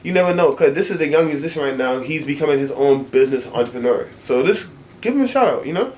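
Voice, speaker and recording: A man's voice: on the high side, lively intonation, fast, darkish tone, slight background noise. Upbeat male interview host, echoey room. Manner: upbeat, promotional, repeats words. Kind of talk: casual interview chat.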